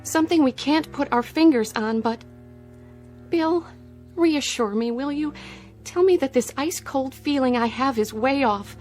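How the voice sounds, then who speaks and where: An anxious military wife in a sci-fi radio drama. Her voice sits a little high and carries unease and worry. A light music bed runs under her. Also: measured pace, darkish tone. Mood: anxious, pleading.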